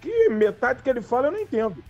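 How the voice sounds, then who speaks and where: Carioca man, really high raspy voice, casual football opinions on a podcast. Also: sing-song, fast, a little mellow, lo-fi sound, faint music behind.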